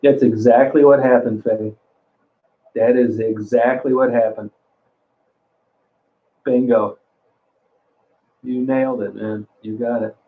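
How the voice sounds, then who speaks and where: A man's voice: mid-range voice, steady tone, slow, pauses often. A man in his sixties chatting casually on a livestream, rambling, cursing freely and often restarting his sentences. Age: 60s. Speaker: livestream host reading chat. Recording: phone-like narrow sound.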